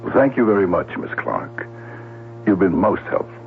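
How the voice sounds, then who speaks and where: Very deep, gravelly police detective. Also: male voice, sing-song, moderate pace, slight background noise, exaggerated voice. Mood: dryly inquisitive. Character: gruff, methodical male police detective, probing and dry. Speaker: actor in a radio mystery. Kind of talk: 1970s radio drama acting. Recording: tinny, humming old radio broadcast recording.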